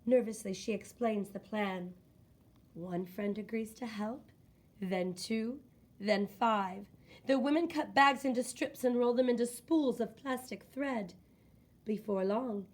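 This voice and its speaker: A woman reading a children's book aloud in expressive, scripted narration with deliberate, dramatic phrasing. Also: mid-range voice.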